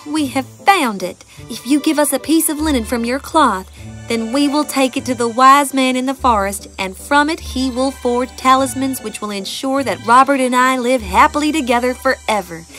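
A woman voicing a loving, earnest young noble wife in an animated fairy tale. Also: high voice, normal speed, pitched above the performer's own voice. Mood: hopeful, pleading. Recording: music bed behind the voice.

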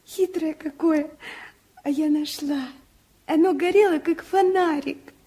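An actress playing an excited, trusting little girl with a childlike, wondering manner in a 1970s radio drama. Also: high-pitched, measured pace. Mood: delighted wonder.

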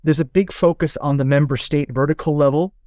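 A man's voice: on the high side, fairly even intonation, fairly quick, warm tone, dry recording, clean studio sound. A man from Switzerland hosting a conversational interview, often restarting and stacking up his phrases. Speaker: podcast host.

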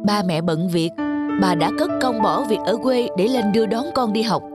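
A woman's voice: mid-range voice, normal speed, close to an everyday voice. Woman voicing a patient, earnest cartoon father. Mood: reproachful. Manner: earnest, explanatory, admonishing. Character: patient, firm young father, gently moralizing. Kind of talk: children's cartoon dialogue. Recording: music behind the voice. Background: background music.

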